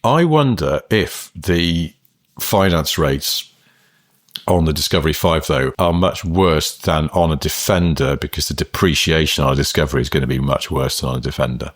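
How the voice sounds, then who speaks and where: A man's voice: rumbling low, moderate pace, dry recording, studio quality. A man co-hosting a podcast in casual chat, musing and rambling with lots of hedging. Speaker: podcast co-host.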